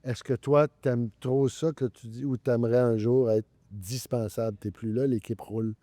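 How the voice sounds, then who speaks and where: A Québécois host running a casual interview, dropping quick "ok"s and easy questions. The voice sits at an ordinary pitch. The recording is dry and close. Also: steady tone, mellow, no echo. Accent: Québécois French. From Quebec (Sherbrooke). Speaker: podcast host.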